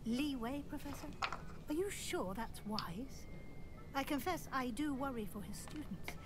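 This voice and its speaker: Fields: Figure: prim, worried professor. Pitch: high. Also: female voice, animated, unhurried, heavy background noise. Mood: concerned. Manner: formal, polite, concerned.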